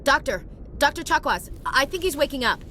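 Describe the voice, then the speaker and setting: An actress playing an earnest, dutiful young soldier in a video game, speaking in a direct way. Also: female voice, high-pitched, expressive, fairly quick, pushed and bright. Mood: alert. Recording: clear engine-like ship noise behind.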